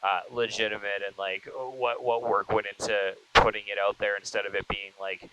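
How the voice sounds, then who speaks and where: Male podcast interviewer, fairly low voice, casual and rambling, clearly noisy recording. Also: flat delivery. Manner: halting, rambling, many repeated false starts. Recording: noticeable background noise.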